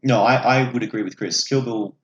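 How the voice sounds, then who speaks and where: A man's voice: mid-range voice, a little fast, dull audio. Male podcast co-host, young adult. Jokey, foul-mouthed, casual film chat, recorded in a reverberant room. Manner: sweary and jokey. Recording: echoey room.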